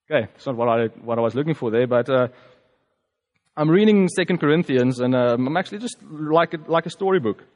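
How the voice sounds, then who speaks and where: Man, South African accent, native Afrikaans speaker, reflective testimony sermon, mid-pitched voice, long hall reverb. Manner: reflective, conversational, halting with fillers. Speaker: preacher.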